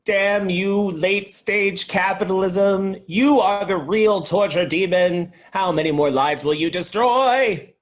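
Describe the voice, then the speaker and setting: A man playing an excitable, idealistic and naive young video store clerk, earnest and dramatic, in a comedy table read. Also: high voice, takes it slowly, hardly any pauses. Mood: outraged.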